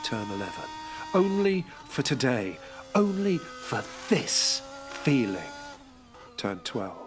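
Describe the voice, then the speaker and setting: A man's voice: mid-range voice, very animated, slow pace, background noise. A middle-aged man voicing a veteran male racing driver, speaking a terse, clipped inner monologue in an audio drama with music behind him. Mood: brooding. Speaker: narrator and lead character.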